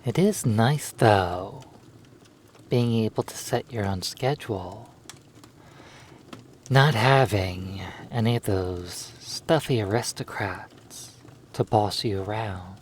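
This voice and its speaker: Man, low voice, extremely slow pace, soothing hypnosis narration, long pauses, faint background noise. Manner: slow, lulling delivery with long pauses between phrases.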